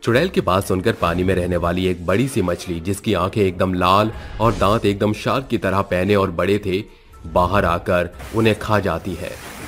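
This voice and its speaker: A man's voice: low voice, steady tone, normal speed, fairly bright tone, faint music behind. A man narrating a scripted horror cartoon story.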